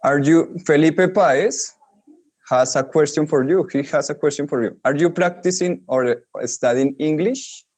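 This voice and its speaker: A man's voice: on the high side, a little slow, darkish tone. A man moderating an online English conversation class, prompting students by name and keeping things moving. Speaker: teacher moderating a conversation group.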